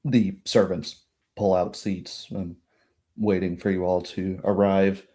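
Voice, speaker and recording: A man narrating an improvised tabletop game as game master, in a halting, hesitant way. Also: sits low, level tone, warm tone.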